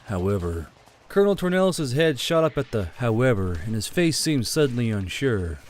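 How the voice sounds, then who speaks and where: A man's voice: mid-range voice, sing-song, moderate pace. A man reading a dramatic war story as an audiobook narrator, in a scripted storytelling style.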